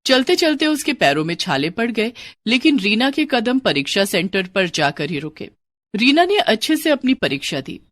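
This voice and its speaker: A woman narrating a moral storybook tale in a scripted, expressive way. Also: a little high, dry recording. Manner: expressive, acts out all characters.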